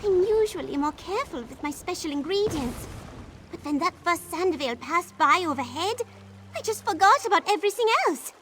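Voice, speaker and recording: A woman voicing an eccentric, excitable potion brewer in a video game, theatrical and effusive. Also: extremely high pitch, moderate pace, background noise. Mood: sheepish. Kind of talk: video game character dialogue.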